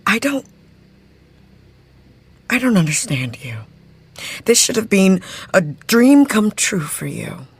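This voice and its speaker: An actress playing a frustrated woman in a tense drama scene, speaking in hushed dialogue. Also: mid-range voice, very animated, slow pace, pauses often, slightly rough, full character voice. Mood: frustrated, hurt.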